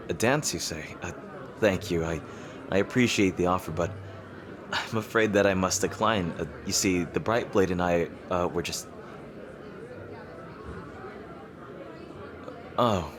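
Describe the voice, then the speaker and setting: A man playing a teasing rival king in an intimate dramatic roleplay, halting and smug yet easily flustered. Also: sits low. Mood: polite, flustered. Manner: halting, teasing, with soft chuckles and pauses.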